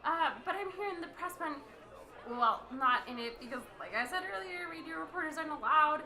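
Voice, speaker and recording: A woman's voice: high-pitched, expressive, fairly quick, pushed and bright, some chatter behind, full character voice. A woman playing a scatterbrained young radio reporter in an amateur radio play, rambling and stammering as she goes. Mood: eager, flustered. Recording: roomy, echoing sound.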